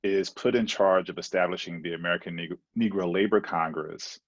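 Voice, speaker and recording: A man speaking as a panelist in an academic discussion, halting and restarting often. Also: sits low, fairly even intonation, no room sound, highs cut off.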